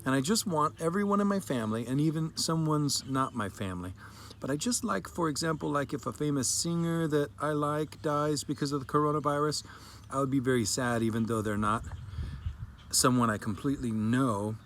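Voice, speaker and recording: A man performs a young girl who is thoroughly fed up with quarantine. The delivery is childlike, earnest and emphatic, repeating itself in a dramatic reading of a sad little story. There is clear noise behind the voice. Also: fairly high pitch, brisk, a bit bright. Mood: sad, worried. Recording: clearly noisy background.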